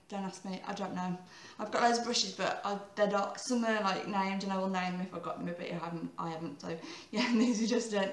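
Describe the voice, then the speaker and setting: A woman in her thirties with a croaky voice, giving a chatty, rambling makeup tutorial and often correcting herself. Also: mid-range voice, roomy sound, background noise. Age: 30s.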